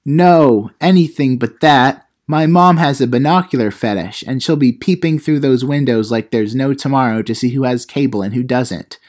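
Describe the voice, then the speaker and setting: A man's voice: medium pitch, normal speed, few pauses, a little mellow, lightly acted. A man playing a cheeky teenage vlogger narrator. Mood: pleading. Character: cheeky self-important teenage vlogger narrator, mock-instructional. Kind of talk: comedic how-to vlog monologue.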